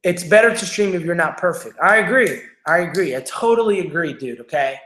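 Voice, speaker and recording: Energetic man with a Mexican accent, echoey room. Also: a little high. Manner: energetic, repetitive, fast-talking, asks "right?" and "okay?" after each point. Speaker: livestream show host. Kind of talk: motivational livestream talk. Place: home room without studio lighting.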